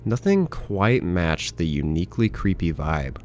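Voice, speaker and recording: A man's voice: low voice, big pitch swings, unhurried, darkish tone. A man hosting a horror review, reading a scripted video essay as narration. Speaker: host, horror series reviewer.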